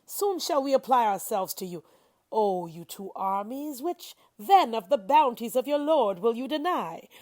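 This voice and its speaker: A woman reads scripture aloud in a solemn, expressive style, deliberate and dramatic, with shifting emphasis and phrases that often fall to a hush. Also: on the high side, no echo. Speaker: scripture reader. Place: studio.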